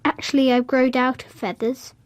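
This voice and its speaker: A woman as a high-voiced, blunt little girl. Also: flat delivery, normal speed, dry recording, close to an everyday voice. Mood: guarded, blunt. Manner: blunt, literal, childlike. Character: stubborn, quirky little girl. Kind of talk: radio drama dialogue.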